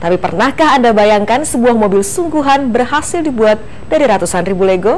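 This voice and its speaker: A woman's voice: a little high, expressive, fairly quick, a little mellow, a little hiss or hum. A woman presenting the news from the studio as anchor, formal in delivery.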